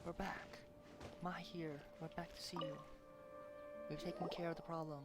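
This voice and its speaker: A man's voice: fairly high pitch, flat delivery, normal speed. A man giving casual live commentary on a game playthrough, reacting and answering the characters aloud. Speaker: game streamer. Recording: loud game music behind voice.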